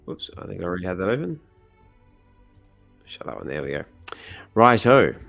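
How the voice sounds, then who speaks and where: A man with a slightly hoarse voice hosting a comic reading stream, chatting casually and rambling with lots of fillers. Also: low-pitched, big pitch swings, normal speed, fairly bright tone, faint music behind. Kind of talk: casual chat.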